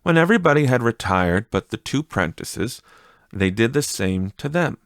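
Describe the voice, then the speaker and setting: A man reading a classic story as an audiobook narrator, with measured, expressive phrasing. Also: medium pitch, no echo, studio quality.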